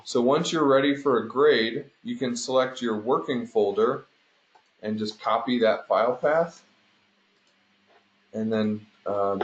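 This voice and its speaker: A man instructing an online class, walking students through steps informally and explanatorily, often checking in with a quick "right?". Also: mid-range voice, slight background noise. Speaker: instructor teaching an online game design class. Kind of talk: informal screen-share walkthrough to students. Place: indoor room, online conference call. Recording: roomy, dull conference call audio.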